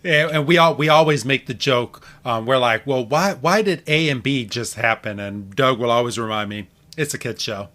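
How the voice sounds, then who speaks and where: A man's voice: mid-range voice, normal speed, hardly any pauses, a bit bright. A man hosting a podcast, chatting informally and retelling things with quoted reactions. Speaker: podcast host. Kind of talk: casual podcast recap and discussion.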